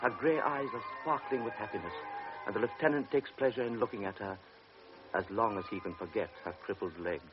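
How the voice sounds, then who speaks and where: Deep-voiced radio drama narrator. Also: male voice. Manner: measured, grave. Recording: dull old radio recording with music.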